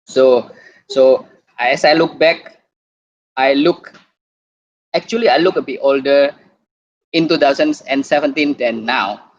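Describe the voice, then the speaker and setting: Slow-talking Indonesian-accented man. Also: medium pitch. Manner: halting, repeats words while speaking. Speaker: doctor and guest speaker. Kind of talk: online presentation talk. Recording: muffled lo-fi video call.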